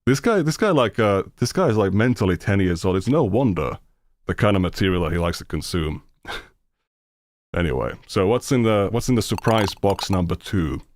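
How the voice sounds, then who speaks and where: Finnish guy streaming, low voice, super dry sound, rambles with lots of ums, swears a bunch. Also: animated, no echo. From Finland. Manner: rambling, halting with many fillers, blunt and profane. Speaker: streamer reacting to videos. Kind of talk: casual reaction commentary.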